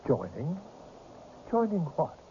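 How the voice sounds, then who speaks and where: An actor playing a cool, condescending older man from a secret tribunal in a radio drama, speaking in a measured, formal, patronising way. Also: a little high, wildly swooping pitch, full character voice. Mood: coolly evasive. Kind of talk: 1980s radio drama acting. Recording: muffled, slightly noisy old radio recording.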